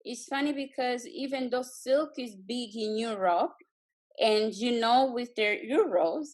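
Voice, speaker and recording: A woman's voice: a little high, measured pace. Earnest woman guest on a video call. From Congo. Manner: earnest, heartfelt, with frequent fillers. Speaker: guest entrepreneur. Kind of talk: casual video chat.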